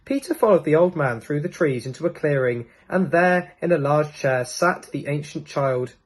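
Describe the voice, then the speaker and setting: Lively, higher-voiced man reading a storybook. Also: moderate pace. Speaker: storybook reader. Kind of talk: scripted narration of a children's storybook.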